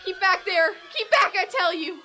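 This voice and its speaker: A woman plays a frantic bystander ordering people about in a radio drama. The voice is extremely high and shrill, pushed into repeated shouts. Clear background noise and scene ambience surround her. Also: expressive, moderate pace, exaggerated voice. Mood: alarmed. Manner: shrill, shouted, repetitive warnings. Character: frantic, bossy bystander shouting a crowd back. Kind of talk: radio play acting.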